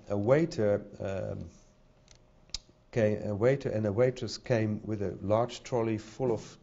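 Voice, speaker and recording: A man's voice: fairly low pitch, a little mellow. A middle-aged man with a Dutch accent giving a conference talk at a podium, hesitant, with many fillers and repeated words. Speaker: conference speaker. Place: conference hall with audience. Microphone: podium microphone. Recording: dull lo-fi sound.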